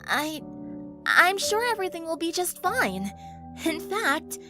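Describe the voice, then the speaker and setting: Woman acting caring pony friend, high pitch, soft and subdued, earnest, clear music behind, audio drama. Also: big pitch swings, unhurried, full character voice. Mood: forced reassurance.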